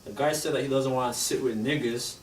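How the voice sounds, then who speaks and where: A man's voice: medium pitch, fairly even intonation, brisk. A man in a casual religious discussion, arguing his points through rhetorical questions. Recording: echoey room from far off with faint noise.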